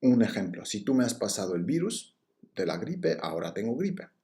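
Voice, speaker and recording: A man's voice: lowish voice, sing-song, normal speed, warm tone. A man giving a recorded university lecture on logic, explaining step by step with frequent fillers and restarts.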